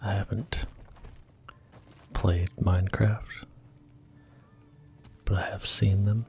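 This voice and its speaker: A man giving soft-spoken, gentle ASMR commentary while he plays a video game. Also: on the low side, level tone, slow, gravelly, faint music behind. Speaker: ASMR gaming host. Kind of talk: whispered ASMR gameplay commentary.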